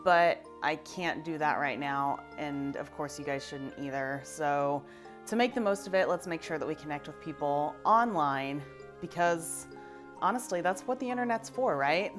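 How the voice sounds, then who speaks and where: A woman with a notably low voice chats through her makeup routine in a relaxed vlog. She sounds upbeat and a little self-deprecating. Soft music plays faintly behind her. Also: moderate pace. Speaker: vlogger. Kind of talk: casual get-ready-with-me vlog.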